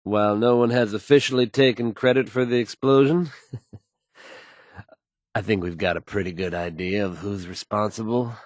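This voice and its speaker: An actor playing a confident, smooth male radio news host in a video game, folksy and dramatic in his announcing. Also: fairly low pitch, steady tone, normal speed, dry recording, lightly acted. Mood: confident, emphatic.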